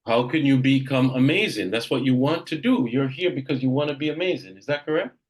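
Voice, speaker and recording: A man from Jamaica runs a group coaching session for real estate agents. He is direct and emphatic and often repeats a point twice. His voice sits at an ordinary middle pitch. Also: brisk.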